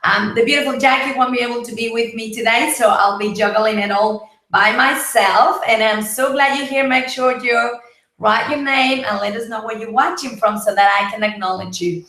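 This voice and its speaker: A woman from Mexico with a husky, partly lost voice, hosting a casual live broadcast and greeting viewers by name. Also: a little high, steady tone, moderate pace, sharp tone, echoey room. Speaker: livestream host. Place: home, Gold Coast.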